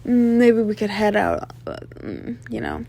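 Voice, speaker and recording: A woman's voice: a little high, creaky, warm tone. A woman playing a flirtatious, sly mafia boss in an ASMR roleplay, coy and self-assured, with teasing hums and murmurs. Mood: coy, musing. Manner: coy, teasing, drawn-out hums and knowing laughs.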